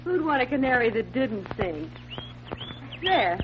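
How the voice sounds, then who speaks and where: Breezy young female sidekick in a radio play; teasing, animated intonation, muffled vintage sound. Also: high-pitched, unhurried. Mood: perky, teasing. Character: pert, wisecracking young female assistant to a magician detective. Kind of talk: 1940s radio drama acting. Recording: noisy, muffled vintage 1940s radio recording.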